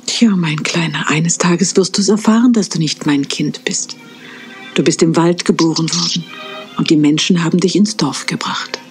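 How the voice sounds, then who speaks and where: A woman's voice: lowish voice, moderate pace, faint music behind, lightly acted. A woman voicing a caring mother cat in a cartoon dub, speaking in a firm, protective way. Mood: tender. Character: caring motherly cat who raised a squirrel. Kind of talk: 1980s German cartoon dub.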